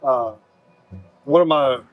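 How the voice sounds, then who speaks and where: Man, easygoing, mid-pitched voice, relaxed band leader. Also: slow pace, no echo, highs cut off, faint music behind. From northern Alabama. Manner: easygoing, drawling, frequent "you know". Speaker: band leader and lead singer. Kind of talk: relaxed interview answers.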